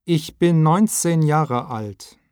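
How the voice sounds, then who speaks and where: A man reading textbook dialogue and number drills with clear, deliberate enunciation. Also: on the high side, a little mellow, no room sound, studio quality.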